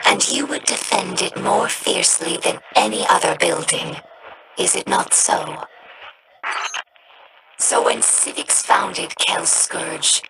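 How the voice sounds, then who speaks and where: Game casting: a young female alien scholar, earnest, slow and solemn, with a gravelly, breathy rasp. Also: mid-range voice, heavy background noise. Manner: slow, measured, solemn delivery. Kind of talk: game character dialogue.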